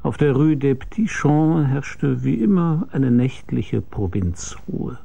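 Gravelly, world-weary noir detective. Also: male voice, mid-range voice, lively intonation, takes it slowly, background noise. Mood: terse narration. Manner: dry, laconic, sardonic. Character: hard-boiled, world-weary male private detective, narrating. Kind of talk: noir radio drama narration and dialogue.

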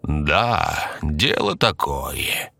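A sinister undead conductor from a horror audio story, played by a man, speaking very low and slowly. He drawls in irony, falsely soothing and calmly threatening, with music playing behind him. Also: no room sound, heavily acted. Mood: sly, ironic. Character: sinister male undead train conductor, mockingly sweet, calmly menacing.

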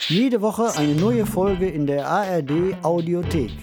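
Casting: male podcast presenter, scripted delivery, somewhat high-pitched. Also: unhurried, over music. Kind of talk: scripted narration.

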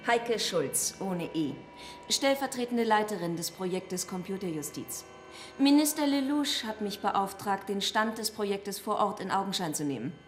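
An actress playing an officious, smoothly polite ministry inspector in a German radio drama, speaking precisely. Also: female voice, mid-range voice, lively intonation, normal speed, a little hiss or hum, natural voice.

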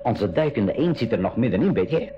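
A man's voice: medium pitch, sing-song, brisk, lightly acted. A man playing a worried, cautious older uncle, an inventor and pilot, in a school radio drama. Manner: cautious, fretful, explanatory. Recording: dull, noisy old radio-play recording.

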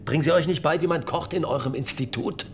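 Male actor as sarcastic farmer, creaky mid-pitched voice, gruff, resentful, radio drama, slight background noise. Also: fast talker, natural voice. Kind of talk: radio play dialogue.

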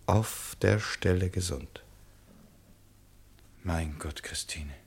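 A brooding nobleman with a deep voice and diction. He is reserved and weighs his words with a troubled conscience, in the literary manner of a radio play. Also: slow pace, creaky, exaggerated voice. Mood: grave, reproachful reading. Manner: reads a letter aloud with muttered asides. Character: aristocratic married gentleman, brooding, reserved, conscience-pricked by a letter. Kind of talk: German literary radio drama acting.

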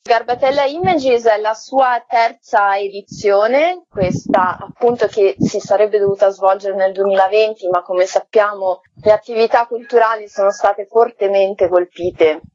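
On dry, somewhat lo-fi audio, a woman speaks at a medium pitch. She is an exhibition curator being interviewed on the radio and gives explanatory, conversational answers. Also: measured pace, few pauses, a little mellow, dry recording. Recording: dry, dull lo-fi call.

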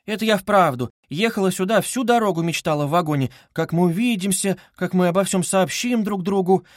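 A man's voice: high-pitched, brisk, dry recording, studio quality, pitched above the performer's own voice, natural voice. A man voicing an anxious, tender older mother who speaks in a flustered, gushing, placating way. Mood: tender, happy.

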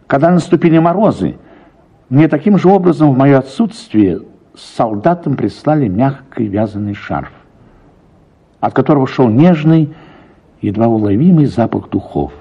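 A man's voice: medium pitch, animated, moderate pace, dark tone, husky, muffled recording. A man reading first-person literary narration for a radio production, as an actor telling a story.

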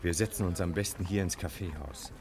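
An actor playing a composed, worldly legal counsellor in a classic radio drama, dry, ironic and unhurried. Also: male voice, low voice, crowd noise, close to an everyday voice. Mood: calm, brisk. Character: composed, dryly mocking legal counsellor, worldly old friend.

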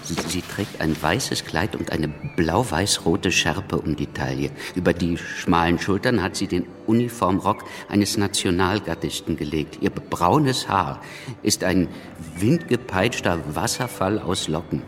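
A witty pamphleteer and editor, low in pitch, with a slight stammer. He sounds wry and precise, moving between narration and dialogue in a radio drama. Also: unhurried. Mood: sardonic admiring. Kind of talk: first-person radio play narration and dialogue.

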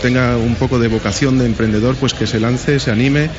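A man's voice: mid-range voice, steady tone, a little fast. Male guest speaking over crowd noise. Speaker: interview guest, entrepreneur. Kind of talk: spontaneous testimonial. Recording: dull sound, loud crowd noise.

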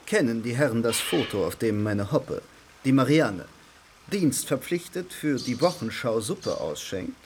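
A self-satisfied, urbane gentleman in a radio play. He declaims wryly in a mid-pitched, highly mobile voice, with audible ambience around him. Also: animated, a little slow, a little hiss or hum. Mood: wry, relishing. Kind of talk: German radio drama acting.

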